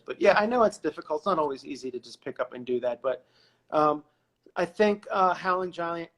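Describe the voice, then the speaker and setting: A man's voice: on the high side, a bit bright. Rambling man with a Pennsylvania accent. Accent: Eastern Pennsylvania. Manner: rambling, loose and profane. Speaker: band member and co-host. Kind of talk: casual podcast chat.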